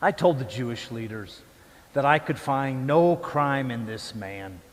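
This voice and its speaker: A man playing a troubled, self-justifying Roman governor in a church dramatic monologue, speaking emphatically and deliberately. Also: medium pitch, animated, lightly acted. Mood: subdued. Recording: echoey church hall with long reverb.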